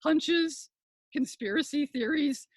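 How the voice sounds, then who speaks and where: An older woman performing a scripted comic monologue as a pompous professor and podcast host, with florid, deliberate academic delivery. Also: high voice, dry recording.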